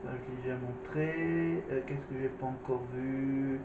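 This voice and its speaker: A man's voice: mid-range voice, fairly even intonation, a little slow. A man hosting a casual vlog, commenting on clips with many fillers and restarts. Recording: echoey, distant, phone-like with noise.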